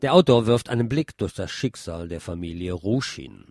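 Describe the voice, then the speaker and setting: A man's voice: lowish voice, expressive, moderate pace, darkish tone, no echo, clean studio sound. A man presenting a programme, reading written articles and reviews aloud. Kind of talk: read-aloud newspaper article.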